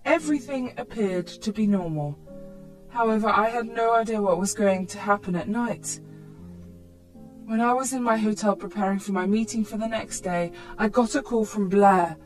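A woman reading a scripted first-person drama with expressive, acted delivery. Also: mid-range voice, moderate pace, soft background music, rough recording. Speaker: story narrator.

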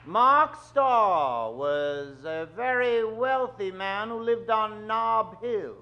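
A man in middle age narrating an old-time radio drama on stage, suave, with dramatic pauses. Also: high-pitched, lively intonation, lo-fi sound. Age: middle-aged. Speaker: host and announcer of a radio show. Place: stage of a small theatre. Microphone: vintage-style stand microphone.

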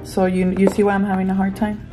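A woman's voice: mid-range voice, monotone, quick pace, mellow, low-quality recording. A woman in her twenties chatting and laughing in casual, profane banter while filming herself close up in a hotel room. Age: 20s. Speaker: vlogger. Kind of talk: casual chat. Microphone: handheld camera close up.

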